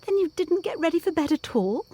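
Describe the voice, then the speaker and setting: A woman playing an anxious, probing young governess in a gothic audio drama, earnest, measured and intense. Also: very high voice, big pitch swings, mellow, dry recording. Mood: wary questioning.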